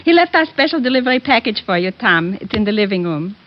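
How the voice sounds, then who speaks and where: A woman's voice: medium pitch, sing-song, fast, lightly acted. An actress playing an older mother and grandmother who fusses and scolds fondly, in 1940s radio style. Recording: muffled 1940s radio recording.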